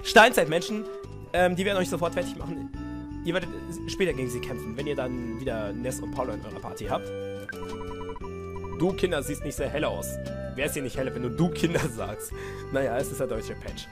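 A man with a cold doing goofy, excitable let's-play commentary and reading game dialogue aloud. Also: medium pitch, fairly quick. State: has a cold. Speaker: let's player. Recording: music playing behind voice.

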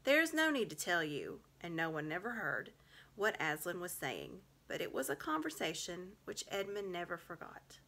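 Bright-voiced woman reading a storybook. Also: lowish voice, big pitch swings, normal speed, vocal fry. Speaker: reader. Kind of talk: reading a children's storybook aloud. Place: small home room, indoors.

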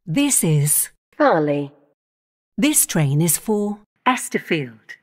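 A woman giving automated onboard train announcements in measured, clipped phrases, naming each station in turn. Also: on the low side, sing-song.

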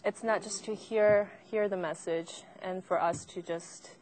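A woman's voice: medium pitch, steady tone, measured pace, a little mellow. A woman church member speaking heartfelt and casually in an interview.

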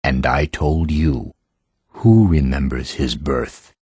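An actor playing an ancient living stone creature, solemn, formal and ponderous, in an audiobook drama. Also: male voice, extremely low pitch, slow, a little mellow, slightly rough, no room sound, exaggerated voice.

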